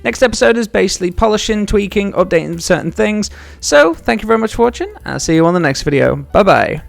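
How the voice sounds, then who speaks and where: Fast-talking young British man with creaky voice. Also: high voice, big pitch swings. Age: young adult. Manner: informal, explanatory. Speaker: game developer and video host. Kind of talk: devlog narration to viewers. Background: background music.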